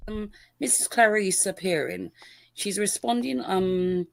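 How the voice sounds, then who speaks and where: A woman playing a patient female judge in an amateur courtroom roleplay, pausing often with ums. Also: mid-range voice, gravelly. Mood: calm. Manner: hesitant, with many ums. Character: patient female judge presiding over a hearing.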